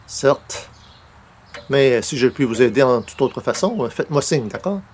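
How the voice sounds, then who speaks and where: A man's voice: mid-range voice, lively intonation, a little slow, breathy edge. Man acting a courteous, put-upon radio-comedy columnist. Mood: polite, resigned. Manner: courteous, formal, mock-dramatic. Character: polite, put-upon male newspaper columnist. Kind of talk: comic radio play in 1930s-40s style.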